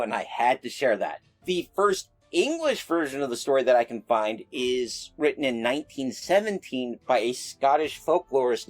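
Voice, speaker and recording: Conversational man narrating over music. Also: a little high. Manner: conversational, self-deprecating. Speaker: YouTube host of mythology and folklore videos. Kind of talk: informal explainer narration.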